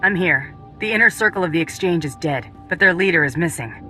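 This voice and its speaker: A woman's voice: on the low side, expressive, brisk, bright, forceful tone. A cool, tough bounty hunter in a video game. She is terse and dryly sardonic, all business, with faint music in the background. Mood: businesslike. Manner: dry, terse. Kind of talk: video game character acting.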